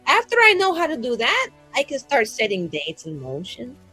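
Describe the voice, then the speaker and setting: A woman's voice: on the high side, huge pitch swings, moderate pace, bright, forceful tone, husky. A woman in casual roleplay chat as a tabletop game player, with a flippant, rambling, slangy way of talking. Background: background music.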